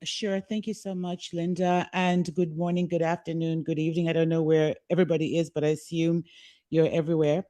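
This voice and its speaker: A woman's voice: sits low, little pitch movement, a little fast, dark tone, dry recording. A woman from Zambia who lives abroad, making an earnest, urgent appeal as a guest speaker at an online press conference. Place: home, based abroad.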